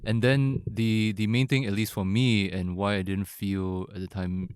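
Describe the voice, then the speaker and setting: Deep-voiced chatty man, laughing easily. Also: flat delivery, measured pace, clean tone, dry recording, clean studio sound. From Singapore. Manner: chatty and laughing, with many likes and restarts. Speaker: podcast guest. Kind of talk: casual podcast conversation.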